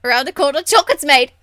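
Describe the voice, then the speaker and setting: A woman's voice: very high voice, no echo, studio quality, pitched above the performer's own voice, full character voice. A woman voicing a cheeky, crude young elf thief in a video game, with a blunt, teasing, informal manner. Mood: gleeful. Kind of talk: video game character acting.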